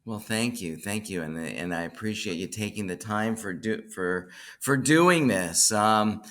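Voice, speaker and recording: A man hosting an interview podcast, speaking off the cuff and haltingly, often repeating words and restarting phrases. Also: on the low side, lively intonation, fairly bright tone. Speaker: podcast host, bookstore owner. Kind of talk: unscripted podcast introduction and interview.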